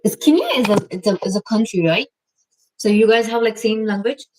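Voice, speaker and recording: A woman's voice: medium pitch, a little fast, a little mellow, low-quality recording. A woman from Egypt hosting a casual live-stream Q&A, chatting and reading viewers' comments aloud with lots of fillers. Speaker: vlog host.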